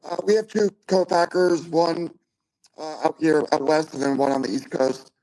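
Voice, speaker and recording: A man's voice: a little high, fairly even intonation, moderate pace, a little mellow, low-quality recording. A man answering interview questions briefly and plainly. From Finland. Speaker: guest, drinks company founder. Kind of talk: casual interview talk.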